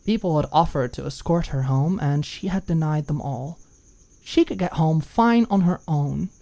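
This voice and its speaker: A woman reading a scripted story as an audiobook narrator, in an expressive storytelling style. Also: sits low, moderate pace, vocal fry.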